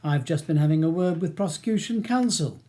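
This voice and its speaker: A poised female barrister, put on by an audiobook reader, soothing and sweetly firm. Her speech is polite and calming, in the manner of storybook narration, with a soft, mellow tone. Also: mid-range voice, a little fast, natural voice.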